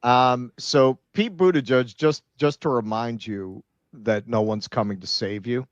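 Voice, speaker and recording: A man co-hosting a political show, giving casual, sardonic commentary with halting, repeated starts. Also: mid-range voice, dry recording, highs cut off. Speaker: political talk show co-host.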